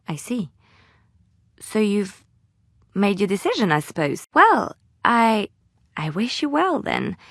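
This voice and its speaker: A woman's voice: medium pitch, no echo, studio quality. An actress voicing a devout, playful young woman adventurer in a video game, sincere and chatty in manner. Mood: hurt, hesitant. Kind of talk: video game character dialogue.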